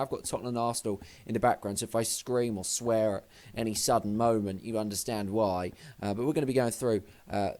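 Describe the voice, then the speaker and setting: A young man, slightly hoarse, rambling and swearing casually as he previews a wrestling show. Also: mid-range voice, a little mellow. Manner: rambling, sweary, self-deprecating. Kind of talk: casual vlog commentary.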